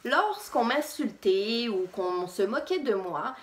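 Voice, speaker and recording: A woman's voice: on the high side, lively intonation, slow, echoey room. A young woman from Réunion with an accent that mixes French and Québécois, telling a personal story to camera in a casual, hesitant way. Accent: French mixed with Québécois. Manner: conversational, frequent hesitations. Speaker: vlogger.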